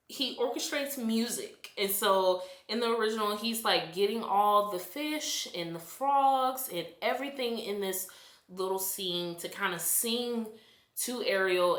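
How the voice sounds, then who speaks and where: A woman from the United States reviews a movie in a chatty, animated rant, sounding exasperated. Her voice is bright and forceful. It rings in an echoey room. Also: medium pitch. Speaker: vlogger.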